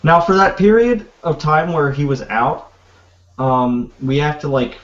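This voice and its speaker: A young man co-hosting a casual podcast, speaking in dry, deadpan remarks and loose banter. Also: on the high side, measured pace, muffled recording. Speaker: podcast co-host.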